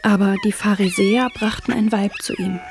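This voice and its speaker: An actress playing a remorseful young mother in a radio drama, halting and pleading as she reads scripture and prays. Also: mid-range voice, huge pitch swings, noisy background. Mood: anguished, pleading. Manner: halting, pleading, sometimes whispering.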